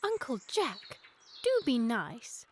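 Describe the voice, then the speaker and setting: A woman's voice: a little high, very animated, slow, a little hiss or hum. A woman playing an earnest, coaxing young Victorian lady in a radio period comedy, pleading and emphatic in formal words.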